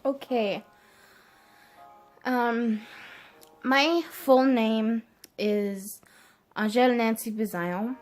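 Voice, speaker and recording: Slow, hesitant young woman. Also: fairly high pitch, fairly even intonation, soft background music. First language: French. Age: early 20s. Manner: hesitant, halting. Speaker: vlogger. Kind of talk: casual personal vlog to camera.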